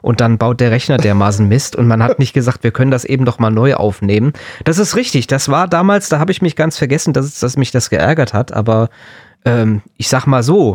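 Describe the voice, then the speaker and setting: A man's voice: mid-range voice, darkish tone, no room sound, clean studio sound. A man co-hosting a podcast in casual, conversational talk. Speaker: podcast co-host. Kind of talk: casual chat.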